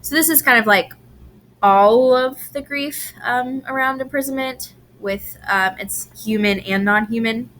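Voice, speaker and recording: A woman giving a recorded lecture presentation, unhurried with frequent "um"s and restarts, her voice a little strained from allergies. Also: a little high, a bit bright, a little hiss or hum. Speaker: presenter on grief and incarceration.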